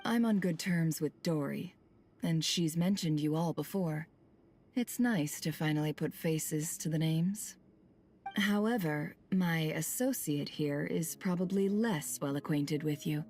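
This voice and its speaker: A woman voicing a shrewd, cool woman boss in a video game, measured and sardonic. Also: low voice, darkish tone, slight background noise. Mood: businesslike. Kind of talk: scripted video game voice acting.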